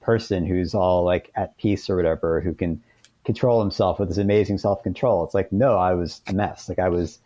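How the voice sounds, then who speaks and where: A man's voice: deep voice, fairly even intonation, normal speed, mellow, no echo. A man speaking as an author guest in a conversational interview, informal and self-deprecating.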